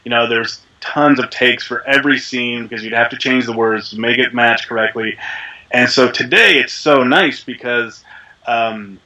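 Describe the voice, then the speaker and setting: A man who is a voice actor, talking casually in an interview with a deep, resonant voice while a little sick, rambling with many fillers. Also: moderate pace, pushed and bright, dull audio. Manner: chatty, rambling, self-deprecating with frequent fillers. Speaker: voice actor guest.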